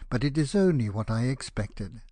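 A man plays a vain storybook firework rocket who takes offence at everything. He declaims with pompous indignation and wounded self-pity. The tone is mellow and dark, in a dry recording. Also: mid-range voice, animated, moderate pace, no room sound, natural voice. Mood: mournful, melodramatic. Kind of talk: dramatized storybook reading.

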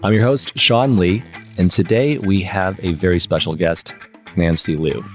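Deep-voiced man hosting a podcast. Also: moderate pace, faint music behind. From United States (Michigan). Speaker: podcast host. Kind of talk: casual interview hosting.

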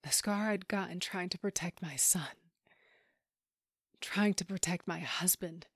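Actress as low-voiced broken widow. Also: female voice, a little slow, fairly bright tone, breathy edge, dry recording, studio quality, heavily acted. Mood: bitter, numb. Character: grieving, bitter, emotionally broken widow and monster hunter. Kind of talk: first-person audio drama narration with acted scenes.

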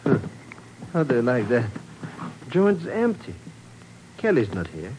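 An actor playing an excitable, easily alarmed comic sidekick to a secret agent in a 1950s radio drama. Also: male voice, medium pitch, a little slow. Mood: grumbling, curious. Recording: hissy old radio broadcast.

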